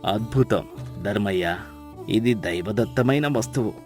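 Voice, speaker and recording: A man playing a wise, stern village elder in a storybook tale, speaking with measured authority. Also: on the low side, a little mellow, soft background music, lightly acted. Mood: awed, stern. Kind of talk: dramatised storybook dialogue.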